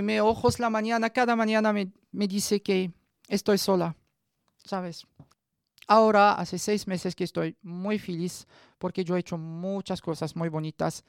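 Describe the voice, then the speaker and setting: A woman in her thirties with a Moroccan accent gives a personal testimony, haltingly searching for words in a language that is not her first. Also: mid-range voice, fairly even intonation, gravelly, smooth, no echo, studio quality. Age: 30s. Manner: halting, searching for words in non-native Spanish. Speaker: radio guest giving a personal testimony.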